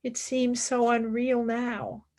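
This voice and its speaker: A young wartime nurse and philosopher played by a woman speaks in a fairly high voice. She takes her time, halting and stressing her words with earnest, grieving gravity, as in a serious radio play. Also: little pitch movement, darkish tone. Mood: anguished, reflective. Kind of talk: dramatic radio play acting.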